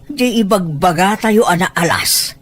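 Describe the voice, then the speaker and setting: A woman's voice: mid-range voice, lively intonation, measured pace. A woman playing a gossipy, boastful older mother in an Ilocano family drama, talking in an exclaiming manner.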